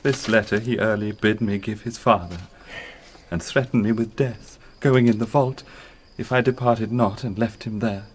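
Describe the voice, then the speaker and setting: A man's voice: lowish voice, moderate pace, slight background noise, close to an everyday voice. A man playing a dutiful, anxious young servant giving testimony in a classical radio drama. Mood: anxious, earnest. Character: dutiful, anxious young manservant reporting to authority.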